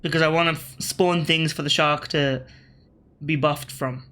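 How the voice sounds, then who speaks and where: A man's voice: on the high side, steady tone, normal speed, pushed and bright. A man from Australia with an accent shifted by British media, talking through a strategy game in casual commentary. He thinks aloud, corrects himself and tosses wry asides at his opponents. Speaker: gaming video creator.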